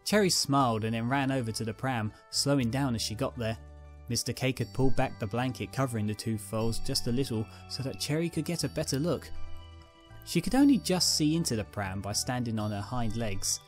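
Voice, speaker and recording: A woman's voice: extremely low pitch, brisk, soft background music. A woman narrating a scripted fan fiction story as its reader.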